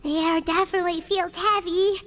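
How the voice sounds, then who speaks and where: A woman's voice: very high-pitched, steady tone, moderate pace, a bit bright. A woman voicing a small, childlike and earnest game companion who frets. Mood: concerned. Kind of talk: video game voice acting.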